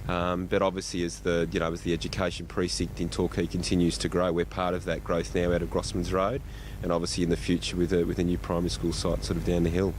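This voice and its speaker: A man's voice: low-pitched, monotone, quick pace, darkish tone, a little hiss or hum, low-quality recording. An Australian man speaking as a school principal in an informal interview, often repeating words as he goes.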